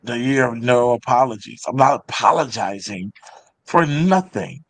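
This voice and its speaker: A man's voice: medium pitch, moderate pace, no echo. A man in his thirties hosting a casual, opinionated panel talk show, speaking bluntly and emphatically and often repeating phrases for weight.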